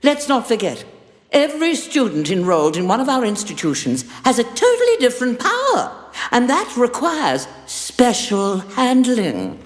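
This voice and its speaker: An actress playing a haughty, condescending chancellor with a pompous, theatrical delivery. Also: female voice, on the high side, huge pitch swings, normal speed. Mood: exasperated, grandiose. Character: haughty, imperious female chancellor, fussy and condescending. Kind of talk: audio drama acting. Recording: echoey hall with long reverb.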